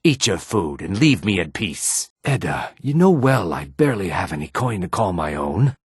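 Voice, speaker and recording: A man playing a courteous, weary and dignified reptilian merchant in a fantasy video game, speaking formally in a throaty rasp. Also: sits low, sing-song, no room sound, clean studio sound, full character voice. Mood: indignant. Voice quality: raspy, husky, throaty. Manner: polite, formal, measured. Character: courteous male Argonian lizard-folk merchant, weary and dignified.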